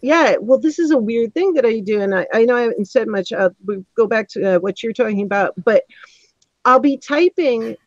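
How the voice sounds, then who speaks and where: A woman's voice: on the high side, lively intonation, a little fast, dry recording, muffled recording. A woman chatting casually and expressively as host of a live online discussion, rambling a little as she answers comments. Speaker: host of a panel discussion show. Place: indoors, online live stream.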